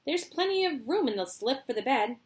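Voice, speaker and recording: A woman voicing an eccentric, excitable old man who makes candy, in a storybook reading. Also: high-pitched, voice pushed up. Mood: bossy. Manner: brisk, eager and bossy.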